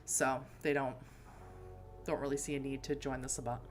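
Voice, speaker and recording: A woman's voice: rumbling low, flat delivery, moderate pace, soft background music. A woman with an American accent chatting casually to her stream, rambling through asides. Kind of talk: casual live-stream chat.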